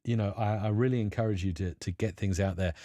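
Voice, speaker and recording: Low, mellow-voiced male live streamer. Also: fairly even intonation, quick pace, no room sound, studio quality. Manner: rambling, filler-heavy, upbeat and encouraging. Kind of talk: casual live stream talk answering chat.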